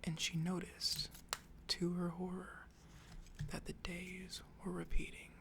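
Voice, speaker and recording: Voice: medium pitch, little pitch movement, slow, creaky. A tired ASMR storyteller whispering a rambling improvised tale, with occasional apologetic asides.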